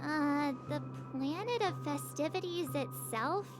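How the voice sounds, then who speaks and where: A young companion character in a game, with a very high, bright voice. She asks short, startled questions, and loud music plays behind her. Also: takes it slowly. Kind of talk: video game cutscene dialogue.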